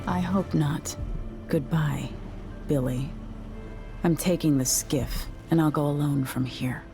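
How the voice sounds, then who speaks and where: Proud young empress, game drama: deep for a woman, controlled, wounded, resolute. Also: slow pace, music in the background. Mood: hurt, resolute. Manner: clipped, controlled. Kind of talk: video game dramatic dialogue.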